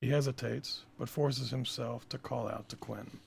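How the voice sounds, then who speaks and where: A man with a gravelly, husky voice reading stage directions as scripted narration at a table read. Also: medium pitch, fairly even intonation, normal speed, a little hiss or hum.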